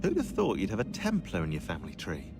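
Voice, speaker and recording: An actor playing a dry, witty, bookish British researcher in a video game, speaking articulately and wryly. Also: male voice, low-pitched, wildly swooping pitch, fast talker, exaggerated voice. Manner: wry, articulate. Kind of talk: naturalistic video game acting.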